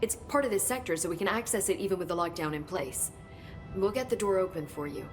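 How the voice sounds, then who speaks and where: An actress playing an earnest, knowledgeable government researcher in a video game, explaining in a measured, understated way. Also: female voice, medium pitch, animated, over music, natural voice. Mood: helpful, informative. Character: earnest, knowledgeable female government agency researcher, briefing her director. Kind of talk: video game cutscene acting.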